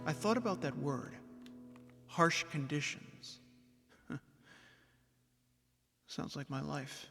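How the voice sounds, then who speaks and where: Actor as high-voiced lonely ordinary guy. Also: animated, slow pace, creaky, bright, forceful tone, soft background music, full character voice. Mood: gloomy, sardonic. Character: ordinary lonely young man, earnest, frustrated office worker. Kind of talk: audio drama dialogue and first-person narration.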